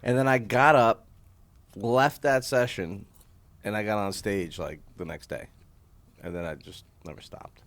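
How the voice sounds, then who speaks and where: A man in his thirties tells stories with a wry, candid edge and curses freely. His voice runs a little low and relaxed. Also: measured pace. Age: 30s. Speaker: stand-up comedian guest. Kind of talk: casual chat, storytelling. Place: hotel conference room.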